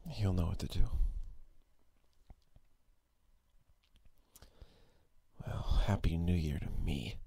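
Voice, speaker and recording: A man playing a quiet, obsessive, possessive schoolboy in an ASMR roleplay, halting and breathy. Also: low-pitched, heavily acted. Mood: dark, scheming. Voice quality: husky, breathy. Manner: halting, stammering, brooding. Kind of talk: ASMR roleplay, intimate acted monologue.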